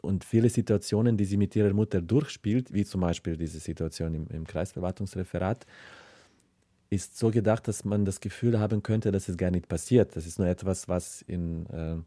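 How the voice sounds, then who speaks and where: A man's voice: low-pitched, brisk, warm tone, no echo, clean studio sound. A man from the former Yugoslavia speaking reflectively as an interview guest, searching for words and repeating himself.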